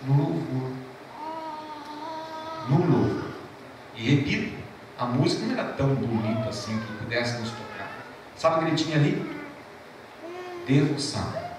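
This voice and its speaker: Brazilian pastor preaching in an echoing church. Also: male voice, mid-range voice, noisy background. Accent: Brazilian Portuguese. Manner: emphatic, repeats key phrases slowly; storytelling, animated rises and drops. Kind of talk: sermon. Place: church hall. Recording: echoey church from far off.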